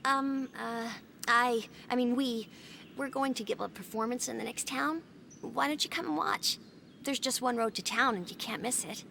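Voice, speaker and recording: A woman playing a feisty, friendly young female traveling performer in a video game dub, expressive and sharp-tongued. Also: on the high side, normal speed, creaky, a little hiss or hum. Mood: flustered, friendly.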